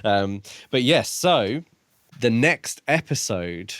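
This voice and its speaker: A man's voice: medium pitch, big pitch swings, slow pace, fairly bright tone, no room sound. A man co-hosting a casual book discussion podcast, talking with dry, wry humour. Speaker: podcast co-host.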